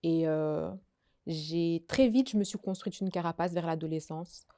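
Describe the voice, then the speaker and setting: A woman's voice: low-pitched, measured pace, warm tone. A young woman from Belgium, a guest telling her life story in casual conversation, hesitant and full of fillers and restarts. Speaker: guest sharing her testimony.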